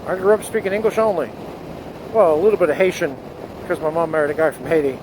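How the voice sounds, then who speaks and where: Motovlogger, male, high voice, earnest and fervent, helmet mic with wind noise. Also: quick pace. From United States. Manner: earnest, rising to patriotic fervor. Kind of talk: spoken essay to camera. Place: on a motorcycle outdoors. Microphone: helmet headset mic.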